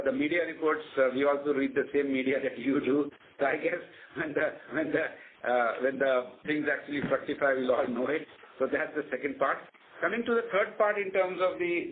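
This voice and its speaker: A man with an Indian accent answering as a company executive on an earnings call, explaining in a measured, confident way. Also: medium pitch. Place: conference phone call. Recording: narrow phone line with faint noise.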